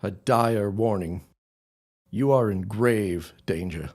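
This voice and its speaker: A man acting a polite, hesitant and dutiful neighbour in a period audio drama, speaking formally and gravely. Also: mid-range voice, expressive, normal speed, natural voice. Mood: grave warning.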